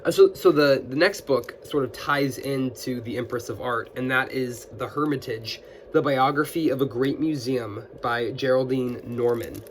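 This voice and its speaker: A man's voice: mid-range voice, normal speed. A young man who is getting over an illness and still sounds scratchy, chatting casually about his new books as a book video host, with a lot of fillers and restarts. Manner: friendly, with many fillers and restarts. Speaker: booktuber. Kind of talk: casual book haul chat.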